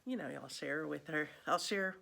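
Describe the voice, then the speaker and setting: A woman's voice: low voice, normal speed, vocal fry, pushed and bright. A woman chatting casually to viewers as a vlog host, in a rambling way, with a slightly congested, froggy voice. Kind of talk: casual chat to viewers about cross stitch.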